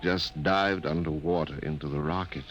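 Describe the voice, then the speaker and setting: An actor playing a shaken, earnest scientist speaking haltingly in a 1950s radio drama. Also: male voice, a bass voice, steady tone, fairly bright tone, muffled recording. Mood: insistent. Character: shaken male scientist, insistent survivor of an ordeal. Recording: slightly noisy old radio recording.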